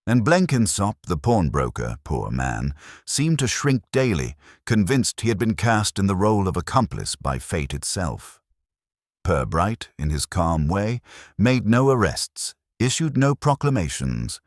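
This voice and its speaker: Extremely deep male mystery narrator. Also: sing-song, measured pace, dry recording, clean studio sound. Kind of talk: scripted mystery story narration.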